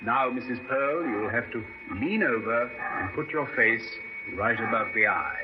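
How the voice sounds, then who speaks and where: An actor playing a brisk, reassuring doctor in a radio horror drama, with a coaxing, precise way of speaking. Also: male voice, medium pitch, natural voice. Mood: instructing. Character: brisk, reassuring male doctor proudly showing his experiment. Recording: dull, noisy old radio recording.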